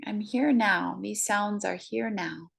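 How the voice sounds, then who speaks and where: A woman guiding a breathing meditation, counting slowly in a soft, soothing way. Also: medium pitch, vocal fry, husky. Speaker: meditation guide.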